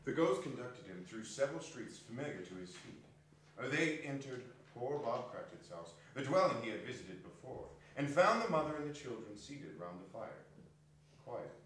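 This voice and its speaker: A man's voice: medium pitch, very animated, moderate pace, a bit bright. A man narrating a scripted story as part of a dramatic stage reading. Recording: very echoey hall, distant mic, faint noise.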